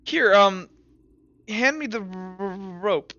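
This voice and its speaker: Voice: mid-range voice, lively intonation, slow pace, sharp tone, no echo, heavily acted. A game master voicing a nervous young male companion who stammers on his words. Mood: hesitant. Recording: dull lo-fi recording.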